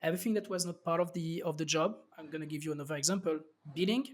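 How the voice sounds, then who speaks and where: A man with a French accent and a slightly raised pitch speaks as a podcast guest. He talks loosely, full of fillers and restarts as he explains his thinking. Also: fast. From France. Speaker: startup founder guest. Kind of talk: casual interview talk.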